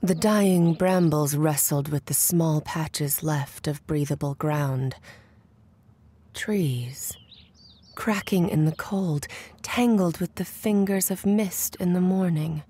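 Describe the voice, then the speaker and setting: A woman playing the undead young Bride of Frankenstein in a gothic horror audio drama, solemn, hushed and breathy in a poetic monologue. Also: low voice, full character voice. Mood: haunted, hushed. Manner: breathy, hushed, incantatory, deliberate.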